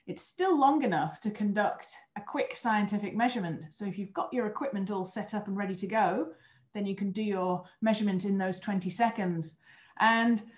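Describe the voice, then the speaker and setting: A European woman in her thirties, a former astronaut trainer, explaining her work in an earnest way on a video call interview. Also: medium pitch. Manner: earnest, explanatory, with small restarts. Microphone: webcam on video call. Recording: roomy, dull video call audio.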